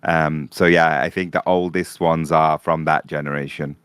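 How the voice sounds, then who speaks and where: Deep-voiced man, relaxed chatty guest. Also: moderate pace, clean tone, no echo, studio quality. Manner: relaxed, peppers speech with "like". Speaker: podcast guest, fan club member. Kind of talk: casual podcast discussion.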